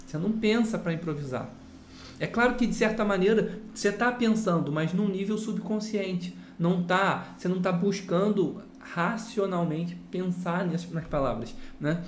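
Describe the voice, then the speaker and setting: A man's voice: sits high, a little hiss or hum. A man from Brazil teaching guitar in a casual lesson, explaining with rhetorical questions and a lot of "look here" asides. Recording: roomy sound with guitar playing.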